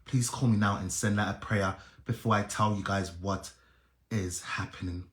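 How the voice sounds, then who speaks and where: A man's voice: fairly low pitch, fairly even intonation, moderate pace, a bit bright, roomy sound. A man hosting a live stream, pleading urgently and repeating himself.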